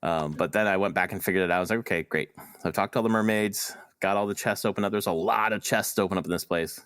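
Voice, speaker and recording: A man with a low-pitched voice chats casually about video games as a podcast co-host. His delivery is informal and joking, with casual swearing. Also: quick pace, a bit bright. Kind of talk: casual gaming chat.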